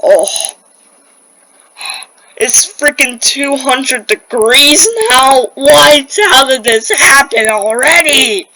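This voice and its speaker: A woman playing a distressed weather broadcaster in an amateur disaster drama, stammering and halting as she struggles through deadly heat. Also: high voice, sharp tone, dry recording, full character voice, rough recording. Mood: uneasy, queasy.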